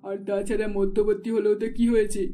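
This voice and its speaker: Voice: medium pitch, level tone, fast talker, dark tone, faint music behind, natural voice. An actor voicing a doting, wealthy mother in a cartoon, talking in a soothing, persuading way. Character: indulgent wealthy mother of an only daughter. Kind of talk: cartoon dubbing.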